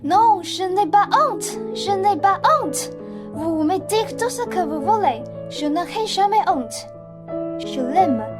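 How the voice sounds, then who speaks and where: A woman's voice: very high voice, lively intonation, takes it slowly, over music, heavily acted. A woman playing a naive, defiant young woman in love in a radio play.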